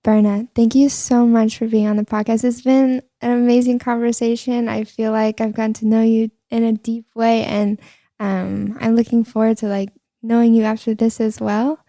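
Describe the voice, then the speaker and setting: A woman hosting a podcast, with a soft, high voice, speaking agreeably and affirming her guest. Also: level tone, normal speed, no room sound, studio quality. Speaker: podcast host. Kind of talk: casual interview chat.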